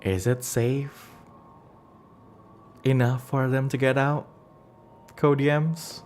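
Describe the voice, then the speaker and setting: A man acts as game master on a storytelling stream, improvising as he goes. His pace is very unhurried and halting, and he often repeats a word or phrase before moving on. He shifts between narrating the scene and chatting with his viewers. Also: medium pitch, flat delivery, a little mellow, slight background noise.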